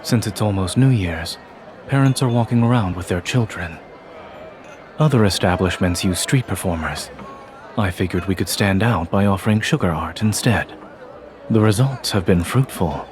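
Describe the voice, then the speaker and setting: An actor playing a calm, formal young general in a romance game, speaking in a measured, dryly teasing way. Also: male voice, low-pitched, lightly acted. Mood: composed, dry. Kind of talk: restrained romance game voice acting. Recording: screen-recorded game audio with faint noise.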